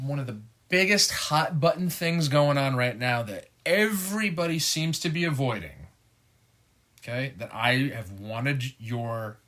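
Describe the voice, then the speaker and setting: A man's voice: mid-range voice, measured pace, a bit bright. A middle-aged man co-hosting a casual podcast with his wife, chatting in an easygoing, joking way. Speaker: podcast co-host. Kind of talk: casual chat.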